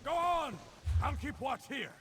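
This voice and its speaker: An actor playing a brash, loyal druid fighter in a video game, calling out urgently. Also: male voice, very high-pitched, expressive, a little slow, noisy background, full character voice. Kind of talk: video game dialogue acting. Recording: loud background game ambience.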